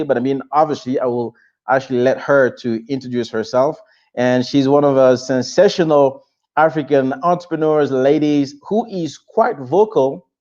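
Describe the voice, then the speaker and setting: A man's voice: medium pitch, darkish tone, dull audio. A man from the DR Congo hosting a live-stream interview show, talking effusively with lots of restarts and fillers. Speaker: show host.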